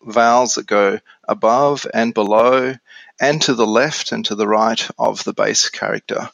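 An Australian man in his forties explaining things casually as an interview guest on software. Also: on the low side, fairly even intonation, measured pace, creaky, no echo. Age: 40s.

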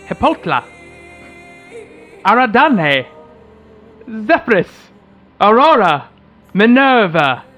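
A man's voice: high-pitched, sing-song, slow, pauses often, sharp tone, highs cut off, soft background music. A man narrating an amateur radio theatre piece in a dramatic, scripted style.

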